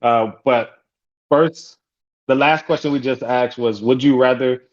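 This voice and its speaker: A man's voice: mid-range voice, steady tone, a little slow, dull audio. A man whose first language is Haitian Creole, facilitating an online support group in casual, upbeat chat that repeats its welcomes. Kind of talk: hosting an online group call.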